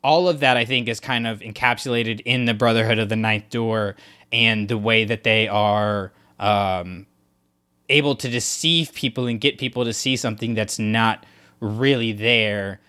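A man's voice: lowish voice, fairly even intonation, moderate pace, pushed and bright, clear, resonant tone. A man co-hosting a casual discussion podcast, talking things through in a thoughtful, analytical way with frequent restarts. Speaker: podcast co-host.

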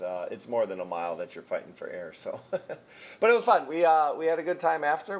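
A podcast co-host chatting casually, relaxed and laid-back, laughing often. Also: medium pitch. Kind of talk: casual podcast chat over a call.

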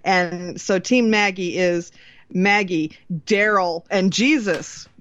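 A woman's voice: on the low side, slow pace, a bit bright, dry recording. A woman co-hosting a podcast in casual, agreeable chat with some mild swearing, on slightly dull, lo-fi audio. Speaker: podcast co-host.

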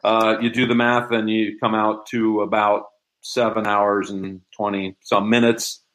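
Low-pitched man explaining in casual interview. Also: little pitch movement, measured pace, a bit bright. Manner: explanatory, conversational. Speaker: interview guest, audio drama producer.

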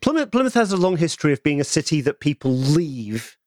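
Thoughtful man with a slightly high voice. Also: sing-song, a little fast, dry recording. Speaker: podcast panelist. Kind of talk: casual chat.

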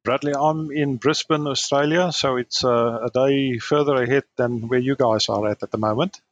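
A man with a southern African accent, speaking as a podcast guest in a measured, polite way with small hesitations. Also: mid-range voice, fairly even intonation. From Namibia. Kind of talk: casual podcast interview.

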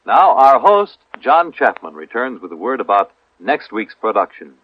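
A man's voice: medium pitch, sing-song, normal speed, no echo. A man announcing formally as a network radio announcer in 1950s style. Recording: dull old radio recording.

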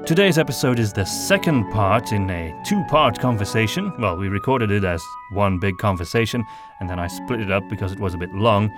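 A man's voice: fairly low pitch, animated, a little fast. A man hosting a podcast, giving a spoken introduction to the show in a conversational way. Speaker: podcast host. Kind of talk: episode introduction. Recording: music under the voice. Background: music.

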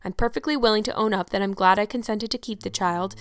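A woman voicing a stern, practical older woman who speaks in a brisk, dry, scolding way. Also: mid-range voice, dry recording, close to an everyday voice. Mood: gruff.

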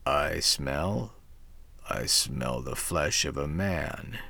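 A man voicing a short-tempered male devil, low and growling, slow and menacing. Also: lively intonation, gravelly, sharp tone, dry recording, exaggerated voice.

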